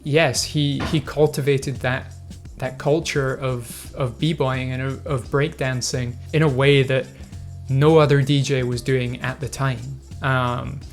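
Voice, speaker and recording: A man's voice: medium pitch, steady tone, takes it slowly, faint music behind. A man hosting his own video, talking through viewer comments in a thoughtful, hesitant way with many fillers and restarts. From Scotland. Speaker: video host. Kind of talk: casual reflective commentary.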